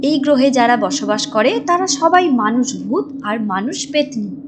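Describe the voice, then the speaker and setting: A woman's voice: a little high, animated, a little slow, heavy background noise. A woman reading scripted storytelling narration for a cartoon. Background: clear background music.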